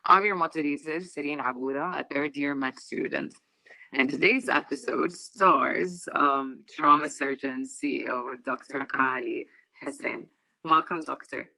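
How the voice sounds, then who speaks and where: Bright, dry-voiced young woman moderator. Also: low-pitched, vocal fry, dry recording, poor audio. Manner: earnest, slightly halting questions. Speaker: podcast moderator, medical student. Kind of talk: scripted intro and interview questions.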